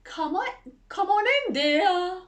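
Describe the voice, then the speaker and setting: A woman's voice: very high voice, slow pace, pitched above the performer's own voice. A woman voicing a snarling, greedy big bad wolf in a children's storybook reading, growling and gloating. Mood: sly growling.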